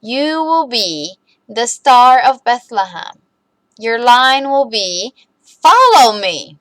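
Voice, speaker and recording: Lady playing a kind storybook teacher, encouraging, high voice that moves around a lot. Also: sing-song, takes it slowly, fairly bright tone, no echo, heavily acted, poor audio. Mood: announcing. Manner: reassuring, instructive. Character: kind, encouraging female schoolteacher.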